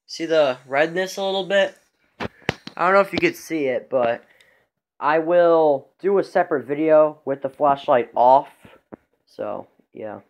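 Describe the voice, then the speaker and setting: A man giving a casual show-and-tell as a vlogger, rambling and halting as he goes. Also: a little high. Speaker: vlogger showing a radio collection. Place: indoors in a room at home.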